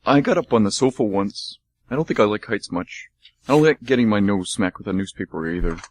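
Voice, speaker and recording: A man's voice: lowish voice, expressive, brisk. Man doing a dopey, good-natured dog. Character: dim, cheerful, friendly leashed dog. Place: college radio studio.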